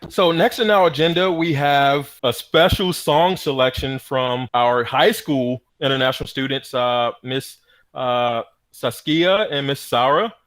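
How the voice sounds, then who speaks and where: A young man from the United States hosting a student event, speaking in a relaxed, halting way with many pauses and fillers. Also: mid-range voice. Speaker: host of an online student event. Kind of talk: casual hosting with hesitant fillers.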